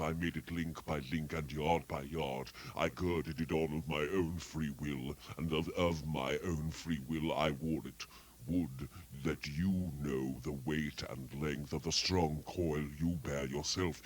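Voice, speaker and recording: A man voicing the chained ghost of a dead miser in a dramatic reading, with a deep, hollow, moaning delivery. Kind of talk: dramatic reading of a classic tale.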